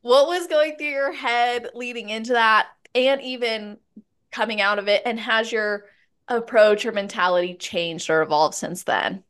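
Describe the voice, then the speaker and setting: A woman hosting a podcast interview, bubbly and quick to laugh, chatting casually. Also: a little high, a bit bright, smooth. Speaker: podcast host.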